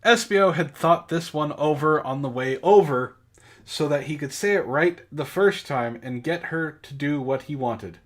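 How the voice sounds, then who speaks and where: A man's voice: on the high side, measured pace, a bit bright. A man co-hosting a comedic book club, reading amateur fiction aloud in a mock-serious way with joking asides. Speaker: podcast co-host and story reader.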